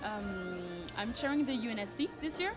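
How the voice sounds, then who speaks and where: A young woman from Paris, an interview guest speaking warmly and with enthusiasm. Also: a little high, expressive, normal speed, crowd noise. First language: French. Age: young adult. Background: background music.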